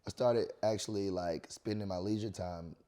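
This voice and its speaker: A man's voice: fairly low pitch, moderate pace. A young man from North Carolina, talking casually as a guest in an interview and laughing often. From North Carolina, USA. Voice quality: raspy. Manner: relaxed, laughs often, trails off with fillers. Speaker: guest singer.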